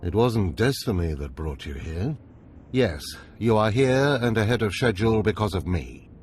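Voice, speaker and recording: An actor playing a stern, scheming older Sith overseer in a video game, speaking in a commanding, measured, formal way. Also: male voice, on the low side, expressive, darkish tone, slight background noise, close to an everyday voice.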